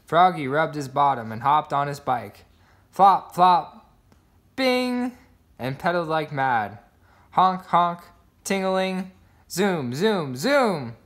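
A man's voice: fairly high pitch, expressive, slow pace, fairly bright tone. A man reading a children's picture book aloud, voicing the sound words expressively. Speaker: storybook reader.

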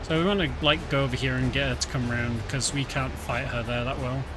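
A man streaming a game, explaining the story and fights in a relaxed, chuckling way. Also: medium pitch, brisk. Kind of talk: casual game commentary. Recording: game music and sounds behind. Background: game music.

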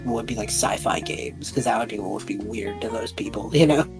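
Woman, low pitch, casual roleplay chat, thinking aloud, tabletop player, faint music. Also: brisk, gravelly, a little mellow. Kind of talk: casual roleplay chat, describing a character's actions.

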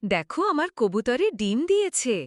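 A woman's voice: high-pitched, sing-song, normal speed. A woman voicing a caring, eager young village girl in a cartoon. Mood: excited.

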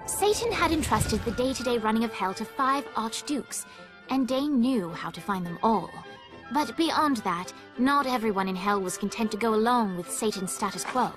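Music plays clearly behind a female storyteller voiced by an actress with a slightly high voice. She narrates a dark game legend in a measured, dramatic way. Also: animated, close to an everyday voice. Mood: solemn storytelling.

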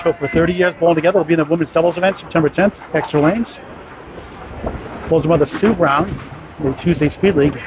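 A man with a slightly high voice calls a bowling tournament live, working through scores and records in a plain, matter-of-fact way. The sound is dull and lo-fi, with loud noise from the alley all around him. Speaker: bowling announcer. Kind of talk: live sports commentary.

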